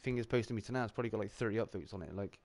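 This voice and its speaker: A man's voice: fairly low pitch, very fast, darkish tone. Laid-back rambling man. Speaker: channel host on a live round-table stream. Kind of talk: casual chat.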